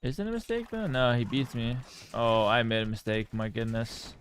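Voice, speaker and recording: A man talks loosely to his viewers during a live game stream. He jokes and swears casually and answers chat messages as they come in. His pitch is in the middle range for a man. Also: sing-song, normal speed, a little hiss or hum. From Canada. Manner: laid-back, joking, swears casually, reads chat aloud.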